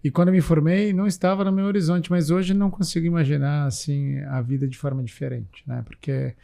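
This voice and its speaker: Gravelly-voiced Brazilian doctor in his forties. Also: on the high side, fairly even intonation, warm tone. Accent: São Paulo countryside Brazilian Portuguese. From interior of São Paulo state, Brazil. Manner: reflective, measured, frequent fillers. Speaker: physician and health manager, guest. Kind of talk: casual interview talk.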